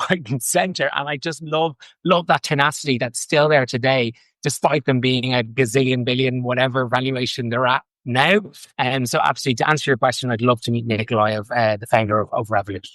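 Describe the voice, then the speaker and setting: A man from Ireland with an Irish accent, a guest in an interview, talking fast and eagerly with frequent restarts. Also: mid-range voice, fairly even intonation, a bit bright, dry recording, rough recording. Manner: enthusiastic, fast, repeats words and restarts. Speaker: guest, fintech co-founder. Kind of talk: casual interview talk. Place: London.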